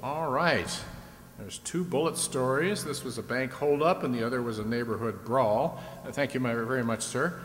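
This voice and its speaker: A man's voice: normal speed, a bit bright, close to an everyday voice. Man playing echoey old-time radio quiz host. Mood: brisk, explaining. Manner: chatty, leading contestants along with questions. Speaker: game show host. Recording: echoey hall, faint rumbling noise.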